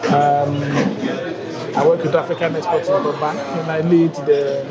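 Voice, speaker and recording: A man from Ghana with a Ghanaian accent, heading a bank's guarantees unit and answering interview questions in a measured way with frequent hesitations. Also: on the high side, level tone, over loud music. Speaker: bank guarantees unit head. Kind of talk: conference interview. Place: busy trade conference floor. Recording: loud crowd behind.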